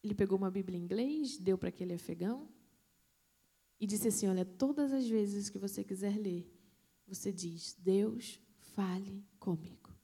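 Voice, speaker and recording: A woman missionary, telling a testimony in a sermon, with dramatic pauses and hushed emphasis. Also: medium pitch, breathy edge. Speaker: missionary preacher.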